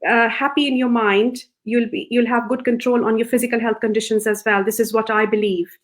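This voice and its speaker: A middle-aged woman from Pakistan, a psychiatrist guest, talking softly and gently with frequent hesitations. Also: fairly high pitch, flat delivery, fairly quick. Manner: soft, gentle voice with many hesitations. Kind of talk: interview answers.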